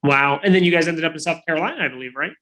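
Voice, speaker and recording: A man's voice: on the high side, rapid-fire, fairly bright tone. A man hosting an interview show, chatting casually and reacting eagerly to his guest.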